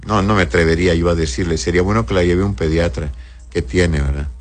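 A man's voice: sits low, fairly even intonation, fast talker. A man working as a doctor, reading listeners' health questions aloud and answering them in a live advice show, with speech and affectionate words for his callers. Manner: reads viewers' messages aloud, answers tenderly, calls them "corazón".

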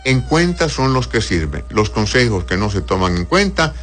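A man with a fairly low voice runs a medical call-in radio show. He reads listener messages and answers bluntly and briskly. Faint music plays under the broadcast. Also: big pitch swings, a bit bright. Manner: blunt, brisk advice with religious blessings.

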